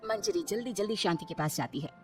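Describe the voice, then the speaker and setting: A woman's voice: lowish voice, fast talker. A woman reading scripted narration for a Hindi cartoon family story. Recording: music behind the voice. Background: background music.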